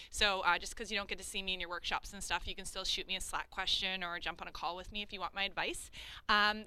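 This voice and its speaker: A woman's voice: medium pitch, pushed and bright, smooth, rough recording. A woman introducing herself to a group of new students in a casual, chatty way with many fillers. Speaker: career services director.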